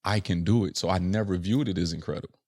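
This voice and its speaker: Deep-voiced man from Chicago. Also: quick pace, a little mellow, dry recording, clean studio sound. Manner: earnest, checks in with constant "right?" tags. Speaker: rapper, podcast guest. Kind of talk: casual reflective interview chat.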